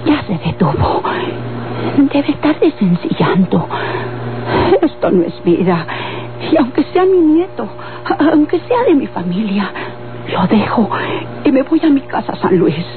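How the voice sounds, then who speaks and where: A woman's voice: fairly high pitch, very animated, measured pace, long pauses, soft background music. An actress playing a weary, anxious old Mexican grandmother in a radio soap, with a plaintive, dramatic delivery. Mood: weary, brooding. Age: older. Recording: old tinny, noisy radio recording.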